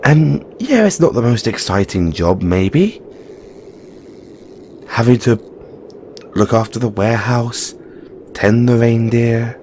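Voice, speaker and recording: A frank male Christmas elf, acted by a man, speaks at an unhurried pace with a very lively, swooping delivery. He is caring and a little weary, chiding yet reassuring, in an informal audio roleplay monologue. There is faint ambient noise behind the voice. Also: mid-range voice. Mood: understanding.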